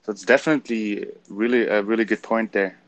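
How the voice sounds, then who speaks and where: A man with a German accent talking in a casual motivational chat, passionate and getting loud when excited. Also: lowish voice, a little fast, dry recording.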